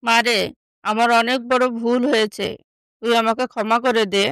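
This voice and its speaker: A woman's voice: fairly high pitch, little pitch movement, normal speed, fairly bright tone, clear, resonant tone, dry recording, lightly acted. A woman playing a regretful older village mother in a moral story.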